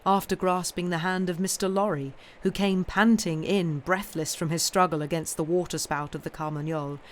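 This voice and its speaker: A woman's voice: fairly low pitch, fairly even intonation, moderate pace. A woman reading a classic novel aloud on a live stream, with casual asides to listeners. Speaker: reader and streamer. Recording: clearly noisy live stream recording.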